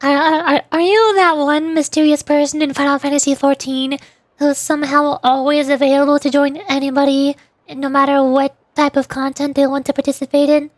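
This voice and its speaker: A woman's voice: high voice, level tone, brisk, no echo. A woman chatting casually and playfully with her stream viewers as she plays a game, giggling and teasing as she goes. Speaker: VTuber livestream host.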